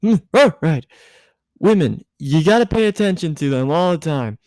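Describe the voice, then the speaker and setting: A tipsy, bossy grown woman, acted out by a man, pitched up well above his usual voice in a dry recording. The delivery is rambling and emphatic, like a giggly guardian dispensing advice in a story reading. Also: expressive, moderate pace, darkish tone, no echo. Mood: tipsy, insistent.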